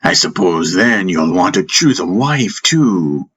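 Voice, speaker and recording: A man voicing a teasing, wise old warrior master in an audiobook, with a playful, mock-modest and deliberate way of talking, over background music. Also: low-pitched, expressive, fairly bright tone, husky, heavily acted. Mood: amused, feigning innocence.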